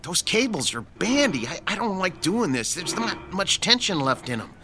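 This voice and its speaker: A man's voice: high voice, very animated, moderate pace. An American actor in an audio drama playing a worried, exasperated male mechanic who tends a worn-out robot, fretful and protesting. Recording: music under the voice.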